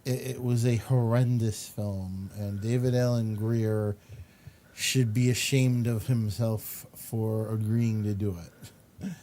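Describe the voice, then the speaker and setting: A man hosting a talk show in casual chat, with drawn-out, emphatic repetition. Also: on the low side, level tone, warm tone. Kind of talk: casual chat, commenting on pictures. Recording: clear background noise.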